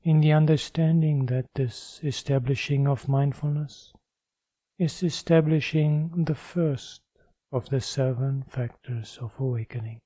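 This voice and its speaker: Male meditation guide, deeply mellow and relaxed tone, deliberate spaced phrasing, dry recording. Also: no room sound. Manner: measured, deliberate phrasing with pauses. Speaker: meditation teacher.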